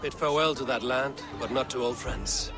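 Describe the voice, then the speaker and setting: An actor playing a stern, blunt male Viking warrior in a game cutscene, speaking in a steady, measured way. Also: medium pitch, over music, a crowd in the background, lightly acted. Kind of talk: video game cutscene acting.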